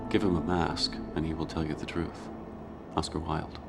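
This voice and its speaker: Solemn TV crime profiler: very low, creaky voice, measured scripted recitation, music underneath. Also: male voice, level tone. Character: grave, thoughtful male criminal profiler narrating. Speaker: actor in a TV crime drama. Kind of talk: scripted voice-over reciting quotations.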